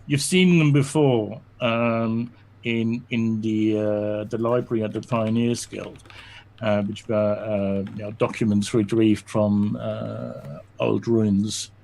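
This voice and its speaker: Slow, halting man narrating as game master. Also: on the low side, fairly even intonation, gravelly, darkish tone. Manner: halting, many fillers and restarts.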